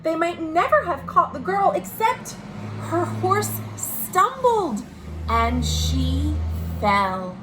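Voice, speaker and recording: A woman reading a picture book aloud to children as a storytime host, expressive, pausing to speak to her young listeners. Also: fairly high pitch. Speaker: children's librarian hosting virtual storytime. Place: at home. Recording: noisy home recording.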